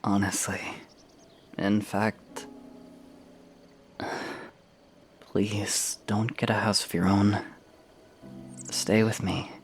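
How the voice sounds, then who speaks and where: Man acting a slow-spoken, reserved young adventurer. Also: fairly low pitch, little pitch movement, vocal fry, soft background music, full character voice. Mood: sincere. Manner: casual, halting when sincere. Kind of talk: fan audio drama acting.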